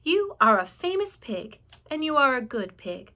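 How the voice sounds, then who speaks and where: Woman playing Charlotte, a slow gentle spider. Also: high voice, sing-song, pitched above the performer's own voice, full character voice. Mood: soothing. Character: wise, gentle female spider, calm and tired.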